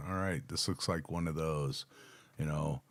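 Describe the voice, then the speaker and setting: Reaction host: American man, low register, casual read-aloud with conversational asides. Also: unhurried, dry recording. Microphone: close microphone on a boom arm, headphones on.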